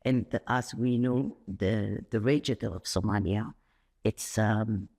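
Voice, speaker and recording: A woman who grew up in Saudi Arabia, a podcast guest telling stories in an animated, rambling way with sudden jumps up in pitch. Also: slow. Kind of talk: conversational interview.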